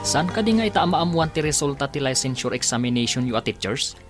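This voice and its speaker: An actor playing a supportive, eager younger brother in an Ilocano radio drama. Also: male voice, mid-range voice, lively intonation, fast talker, music in the background, close to an everyday voice. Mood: concerned.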